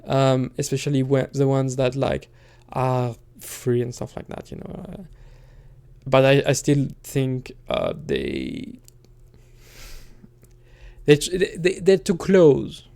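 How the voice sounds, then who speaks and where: A man talking casually on his own channel in a hesitant, halting way, thinking out loud as he goes. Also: mid-range voice, lively intonation, creaky. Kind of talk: casual rambling solo talk.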